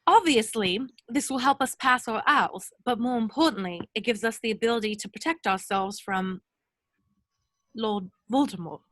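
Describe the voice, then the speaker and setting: A woman's voice: on the high side, normal speed, dry recording, lightly acted. A woman playing an earnest, bossy, bookish teenage schoolgirl in an amateur table read. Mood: earnest, determined.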